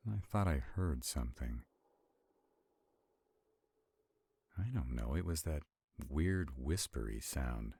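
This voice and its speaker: Male actor as haughty vampire, cavernously low voice, slow deliberate delivery, skeptical, commanding, protective, audio roleplay. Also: gravelly, dark tone, breathy edge, heavily acted. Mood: uneasy, alert. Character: arrogant, skeptical, protective male vampire, irritable under strain. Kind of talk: roleplay audio drama acting.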